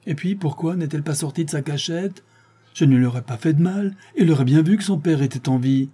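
A man's voice: on the high side, quick pace, mellow, breathy edge, pitched above the performer's own voice. A man voicing a desperate accused man who protests his innocence in court, agitated and raising his voice. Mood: pleading.

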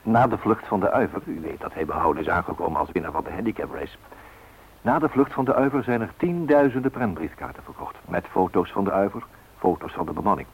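An actor playing a reflective storyteller looking back in wonder, in a radio play. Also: male voice, low-pitched, expressive, fast talker, slight background noise, poor audio. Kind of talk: documentary radio play narration.

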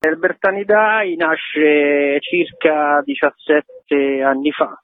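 A man's voice: on the high side, fairly even intonation, slow, dry recording. A man chatting informally in a radio interview as an event organizer, in loosely strung phrases. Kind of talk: casual conversational radio interview. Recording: tinny, phone-like radio interview line.

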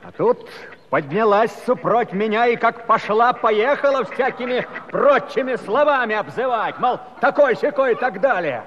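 A man's voice: extremely high pitch, expressive, moderate pace, a bit bright, in a large hall, muffled recording. An actor playing an aggrieved, hot-tempered Cossack husband in a theatrical comic musical, complaining of his defiant wife. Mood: plaintive, indignant.

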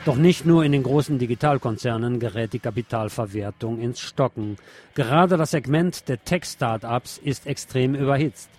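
A man's voice: mid-range voice, moderate pace, a little mellow. A man reads scripted political commentary as a radio presenter. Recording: music playing behind the voice. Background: music.

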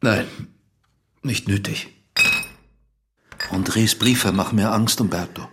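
An actor playing a weary accused husband in a radio drama, speaking in a subdued, halting way. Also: male voice, fairly low pitch, background noise. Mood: troubled. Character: weary accused Italian husband under questioning. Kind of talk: German radio drama acting.

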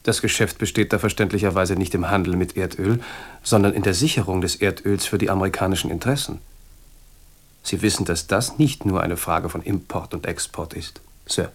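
An actor in a German radio drama playing a young but sedate English butler, formal, deferential and dryly discreet. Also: male voice, deep voice, steady tone, a little fast. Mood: discreet, insistent.

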